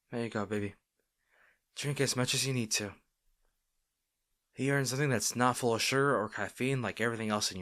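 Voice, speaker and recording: A man playing a caring boyfriend in an intimate audio roleplay, talking tenderly and with gentle insistence to his partner. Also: on the low side, natural voice. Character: caring, gently firm young boyfriend soothing an overworked partner.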